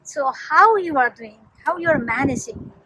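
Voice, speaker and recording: High-voiced host asking simple questions. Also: female voice, animated, moderate pace, poor audio. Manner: simple, broken English questions. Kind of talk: interviewing. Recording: loud background noise.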